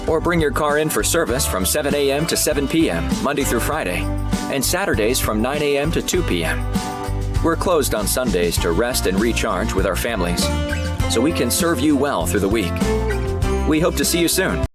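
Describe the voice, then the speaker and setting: Male commercial voice, animated intonation, polished welcoming read over loud guitar music. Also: mid-range voice, normal speed. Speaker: advert announcer. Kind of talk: scripted radio-style commercial read.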